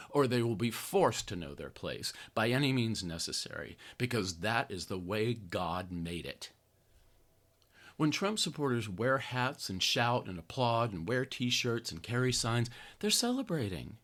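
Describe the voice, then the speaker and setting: An American man reading an opinion essay aloud as a podcast host, with an emphatic, theatrical, sardonic delivery and drawn-out stressed phrases. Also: medium pitch, sing-song, fairly bright tone.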